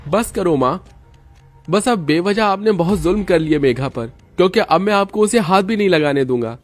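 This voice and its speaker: A man's voice: high-pitched, expressive, normal speed, faint music behind. A man voicing a stern, protective young husband in a cartoon story, firm and reproachful. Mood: indignant. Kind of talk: cartoon moral story acting.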